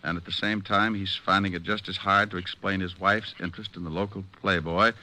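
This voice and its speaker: An actor playing a cocky, sardonic American private detective in an old-time radio drama, wisecracking and hot-tempered. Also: male voice, low voice, steady tone, brisk, bright, forceful tone, poor audio. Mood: dry, pressing. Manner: sardonic, flippant.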